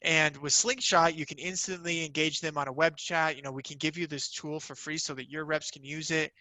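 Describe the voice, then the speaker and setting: A man's voice: fairly high pitch, fairly even intonation, a little fast, sharp tone, no echo, poor audio. A man giving an informal, upbeat sales presentation as a company representative, conversational and peppered with "you know".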